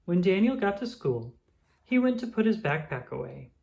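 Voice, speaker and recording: Picture-book narrator: expressive, animated, clear storytelling for small children. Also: mid-range voice, moderate pace, rough recording. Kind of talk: expressive scripted read-aloud narration.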